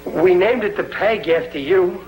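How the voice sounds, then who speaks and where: A man's voice: high voice, unhurried, background noise. An actor playing a bumbling, easily flustered working-class family man in a 1950s TV sitcom, folksy and ungrammatical. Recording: old TV soundtrack, loud music.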